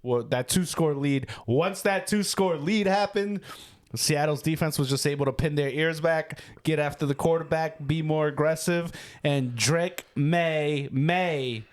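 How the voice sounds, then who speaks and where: A man's voice: a little high, measured pace. Goofy, joking Boston-accented man. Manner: goofy, jokey, teasing, drifts into silly voices. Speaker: podcast host. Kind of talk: casual sports podcast banter.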